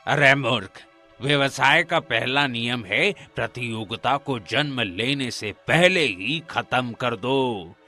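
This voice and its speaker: A man's voice: medium pitch, animated, slow pace, sharp tone, soft background music, exaggerated voice. A man playing a greedy, condescending village priest and moneylender in a cartoon, with a pompous manner. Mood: scornful, indignant. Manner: pompous, condescending, tags questions onto sentences. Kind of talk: acted Hindi cartoon dialogue.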